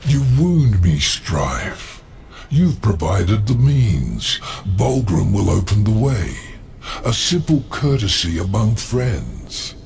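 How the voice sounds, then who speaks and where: An actor playing a sly, sinister demon merchant in a video game, gravelly, mockingly courteous. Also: male voice, on the low side, very animated, slow pace, darkish tone, slight background noise, heavily acted. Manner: sardonic, insinuating. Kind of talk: dramatic video game cutscene acting.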